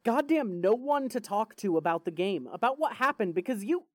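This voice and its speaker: A woman playing a grieving, foul-mouthed, defensive young man in an audio drama, with halting, vulnerable delivery. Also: mid-range voice, big pitch swings, darkish tone, dry recording, clean studio sound. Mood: hurt, rambling. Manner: halting, swearing, raw and vulnerable. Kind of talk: fan fiction audio drama acting.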